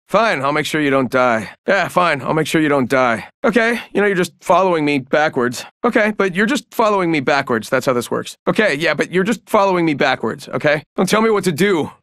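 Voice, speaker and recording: An actor playing a cocky, joking trickster in a video game, flippant and stammering, teasing theatrically. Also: male voice, a little high, fairly bright tone, no echo, studio quality. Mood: grudging. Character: cocky, joking young male trickster fighter. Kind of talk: video game character voice lines.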